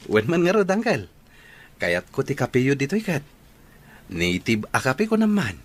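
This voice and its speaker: An actor playing a polite, eager young suitor in a radio drama, with an Ilocano accent. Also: male voice, mid-range voice, huge pitch swings, unhurried. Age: young adult.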